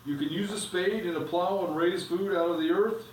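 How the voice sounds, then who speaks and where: A man reading aloud, voicing a majestic, kind male lion king in a grave, measured way. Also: high-pitched, slight background noise, pitched above the performer's own voice, natural voice. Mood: solemn. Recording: echoey room, from a distance.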